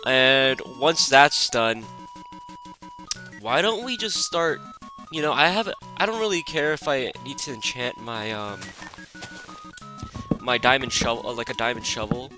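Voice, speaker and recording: Raspy-voiced sick young man gaming. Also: mid-range voice, measured pace, long pauses. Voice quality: raspy and stuffed-up. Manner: rambling and relaxed, lots of fillers. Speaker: gaming channel host. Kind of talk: casual gameplay commentary. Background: background music.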